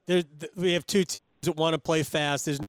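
A man's voice: a little high, fairly even intonation, moderate pace, no echo. A man hosting a conversational sports radio interview, speaking into a close studio microphone.

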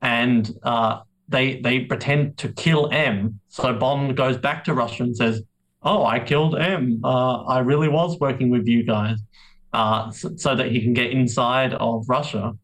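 A man giving opinions as a podcast co-host, emphatic and talking in halting bursts. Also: mid-range voice, fairly even intonation, a bit bright, rough recording. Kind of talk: casual opinionated discussion.

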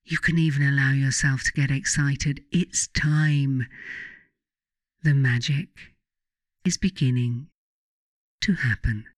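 A meditation guide reads at a very unhurried pace in a soothing, instructing manner. The voice sits in a middle register and is recorded very dry, with no room around it. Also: medium pitch, fairly even intonation, dry recording. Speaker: author narrating a guided meditation. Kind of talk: guided meditation, scripted narration.

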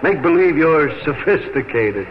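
An actor playing an older Midwestern husband in a 1950s radio drama, folksy, wry and affectionate. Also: male voice, on the high side, animated, unhurried, slight background noise. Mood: teasing. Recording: muffled 1950 radio broadcast with music.

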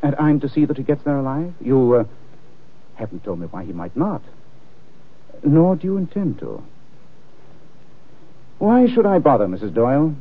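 A suave gentleman sleuth in a vintage radio drama. His husky voice swings freely in pitch as he delivers wry understatement, all through a tinny, narrow old broadcast sound. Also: very animated. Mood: wry, probing. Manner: dry, wry, unhurried understatement. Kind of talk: 1940s-50s radio drama acting. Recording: tinny, muffled old-time radio recording.

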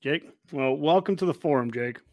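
An American man hosts a podcast in a casual, conversational style. His pitch is slightly high for a man, and the sound is close and dry. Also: moderate pace, a little mellow, no echo. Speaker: podcast host.

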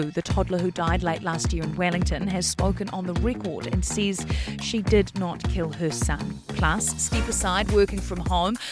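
A woman delivers a scripted news podcast promo. Her pitch is mid-range, and a music bed plays clearly behind her.

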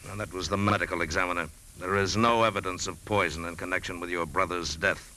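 A man's voice: deep voice, fairly even intonation, fast talker, pushed and bright, low-quality recording. An actor playing a stern, skeptical male district attorney in 1950s radio drama style. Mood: firm, exasperated. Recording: hissy old 1950s radio broadcast.

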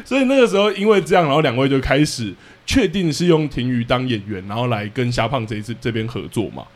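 A man's voice: mid-range voice, animated, fast talker. A man with a Taiwanese accent co-hosting a podcast in a casual, chatty interview style. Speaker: podcast co-host.

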